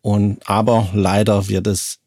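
A middle-aged man from Switzerland, a school social worker, speaking in a deliberate way with slightly broken grammar. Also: on the low side, little pitch movement, a little mellow, slightly rough, dry recording, studio quality. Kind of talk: casual talk show chat.

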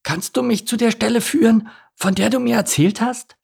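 Storybook duckling, young male, overcautious and brooding, as a man acts him; high, lightly rough voice, very earnest. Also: moderate pace, a little mellow, full character voice. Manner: brooding, fretful. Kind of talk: storybook character voice.

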